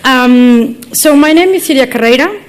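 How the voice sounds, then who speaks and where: A woman's voice: high voice, animated, normal speed. Spanish-accented young woman giving a chatty talk. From Galicia, northwest Spain. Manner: chatty, self-deprecating, fillers. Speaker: conference speaker. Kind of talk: conference talk. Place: conference hall.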